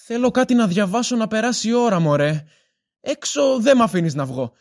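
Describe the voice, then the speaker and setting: A woman plays a restless, housebound grumbler in an audio drama, with a gravelly creak and animated pitch. The delivery is whiny and childishly playful. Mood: bored, complaining. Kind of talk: Greek audio drama acting.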